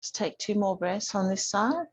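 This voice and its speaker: A woman leading a gentle yoga class as the teacher, guiding with a calm, soothing, gently instructing manner. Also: medium pitch, moderate pace, breathy edge.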